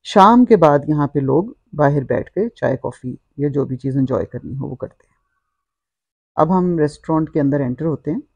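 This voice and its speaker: Middle-aged woman with a bright voice. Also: low-pitched, animated, normal speed, creaky, slightly rough, no echo, muffled recording. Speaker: vlogger. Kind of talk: narrated walk-through vlog.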